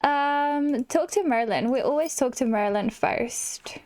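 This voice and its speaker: A woman hosting a gaming video, chatting casually and excitably as she plays and often exclaiming. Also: high-pitched, slow. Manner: excitable, exclamatory, chuckles at herself. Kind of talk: casual let's-play chat, reading game dialogue aloud.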